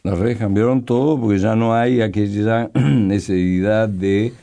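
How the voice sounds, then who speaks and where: Slow, mellow older man. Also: on the low side, gravelly. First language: Brazilian Portuguese. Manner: slow, hesitant, halting, thoughtful. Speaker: poet and guest. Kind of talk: reflective interview talk.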